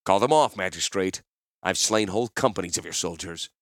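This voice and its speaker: A man's voice: sits low, animated, a little fast, fairly bright tone, no room sound, clean studio sound. A man voicing a swaggering, defiant warrior hero who speaks in a commanding way in a fantasy audiobook. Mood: threatening. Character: boastful, defiant legendary male warrior hero.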